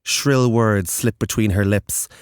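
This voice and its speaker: A man reading scripted horror narration in a grave, measured, literary manner. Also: on the low side, steady tone, clean tone, no echo, studio quality.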